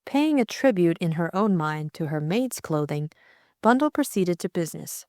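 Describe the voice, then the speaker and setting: Lower-pitched female audiobook narrator. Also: expressive, moderate pace, a little mellow, no room sound, clean studio sound. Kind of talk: scripted story narration.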